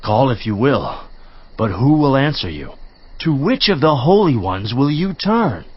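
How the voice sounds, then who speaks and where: Man acting a husky, swooping biblical counselor. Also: mid-range voice, big pitch swings, unhurried. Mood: grave warning. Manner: emphatic, preaching, rhetorical. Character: stern, admonishing male counselor Eliphaz. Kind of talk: dramatized scripture reading, theatrical. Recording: slightly muffled audio.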